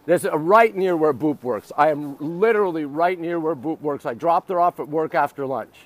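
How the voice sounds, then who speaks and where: A middle-aged man with a slightly high voice hosts a casual live stream. He speaks outdoors in the city, addressing viewers directly in short phrases that he often repeats. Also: moderate pace. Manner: repetitive, short exclamatory phrases. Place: outdoors on city streets by a river.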